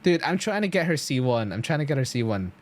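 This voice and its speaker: A man's voice: medium pitch, very animated, fast talker. A man streaming a game, chatting casually to viewers in an enthusiastic, slangy, rambling way.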